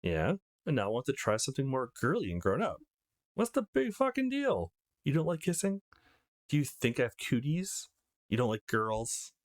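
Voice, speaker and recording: A man's voice: medium pitch, huge pitch swings, moderate pace, no echo, clean studio sound. A man voicing a feisty, foul-mouthed teenage girl in a visual novel, brash and confrontational. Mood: defiant. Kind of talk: acted visual novel read-aloud.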